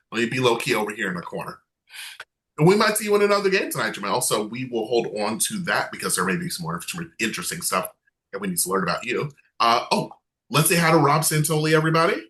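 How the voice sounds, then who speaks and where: A man's voice: fairly low pitch, bright, forceful tone. A man hosting an online game night over a video call, chatty, upbeat and self-deprecating. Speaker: game show host.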